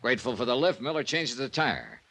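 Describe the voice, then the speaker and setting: A man's voice: medium pitch, animated, fairly quick, sharp tone. A man playing a wry, persistent insurance investigator, narrating and talking in clipped 1950s radio drama style. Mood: persuasive, insistent. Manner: punchy, clipped, conversational. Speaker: narrator and lead detective. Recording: old-time radio recording.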